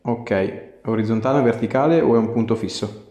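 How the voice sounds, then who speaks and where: A man's voice: lowish voice, moderate pace, warm tone. A man, a chiropractor giving instructions and asking a patient questions. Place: small bare treatment room, indoors. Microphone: clip-on lapel mic. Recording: echoey small room.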